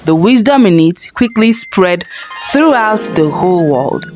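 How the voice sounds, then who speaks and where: A woman narrating a children's folktale in an expressive, dramatic storytelling manner. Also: mid-range voice, measured pace, soft background music. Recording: faint background noise.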